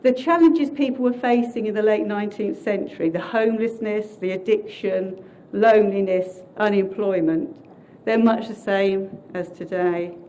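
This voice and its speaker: A loud-voiced woman minister talks a council meeting through a short history, friendly and explanatory, mostly reading from her notes. Her pitch sits in the middle of the female range. A faint hiss runs under the recording. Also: fairly even intonation, moderate pace, poor audio. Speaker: Salvation Army minister. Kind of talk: community presentation at a council meeting.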